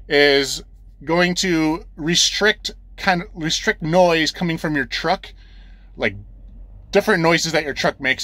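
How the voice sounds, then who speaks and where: Bright-voiced man giving a casual tutorial. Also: fairly high pitch, no room sound. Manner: plain-spoken, informal, repeats instructions step by step. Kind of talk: casual hands-on explainer. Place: inside a truck cab.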